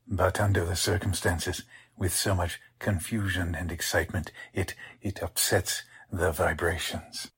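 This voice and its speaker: An actor playing a pompous, theatrical little mind reader with a breathy, husky voice in a 1940s-style radio drama. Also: male voice, sits low, level tone, fairly bright tone, exaggerated voice. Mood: evasive, affronted. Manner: slow, pompous, wounded dignity. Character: pompous, theatrical male stage mind reader, short of stature.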